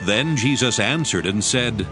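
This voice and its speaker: A man gives a formal, measured narration of dramatized scripture. His voice sits at a medium pitch with lively intonation, over clearly audible music.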